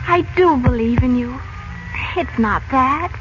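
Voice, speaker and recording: A woman's voice: sits high, measured pace, background noise. A woman playing an innocent, trusting young girl, anxious and polite, in a 1940s radio serial. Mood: earnest, apologetic. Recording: old 1940s radio broadcast, muffled.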